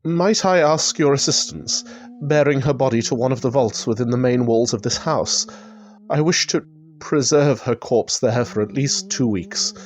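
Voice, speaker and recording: A man's voice: mid-range voice, normal speed, natural voice. A man acting a fragile, grieving master of an old house in a gothic radio drama. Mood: grave, anxious.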